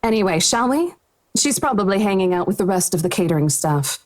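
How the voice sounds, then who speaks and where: A woman's voice: medium pitch, expressive, fairly quick, lightly acted. Visual novel role: gracious female hostess , woman acting, medium register, polite, chatty, professional. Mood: relieved, chatty.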